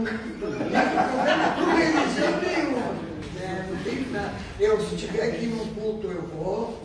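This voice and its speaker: A woman with a high voice and a Rio Grande do Sul accent shares her testimony in animated, informal speech, often repeating words. She is heard from far off in a very echoey room with faint noise. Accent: southern Brazilian, Rio Grande do Sul. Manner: animated, repeats words for emphasis, chuckles while talking. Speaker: spiritist medium giving testimony. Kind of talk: informal personal storytelling at a group talk. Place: echoey meeting room with a group.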